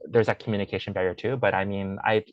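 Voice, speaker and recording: A lower-pitched young man speaks haltingly, full of fillers and restarts. His voice sounds a little muffled and dull, recorded dry with no room sound. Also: fairly even intonation, no echo. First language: English; Chinese is his second language. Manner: hesitant, many fillers and restarts. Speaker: interview guest. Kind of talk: casual personal conversation.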